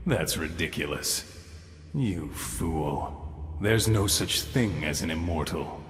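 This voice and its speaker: A man voicing an ancient, bloodthirsty male vampire in an anime dub, theatrical and taunting. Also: deep voice. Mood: condescending amusement. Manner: theatrical, savoring words slowly, taunting. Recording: reverberant clip under loud music.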